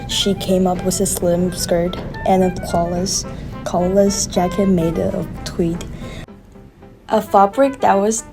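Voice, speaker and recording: A woman playing a fashion house spokeswoman in a 1920s radio commercial, with a slightly halting promotional read. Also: mid-range voice. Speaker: commercial announcer. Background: music.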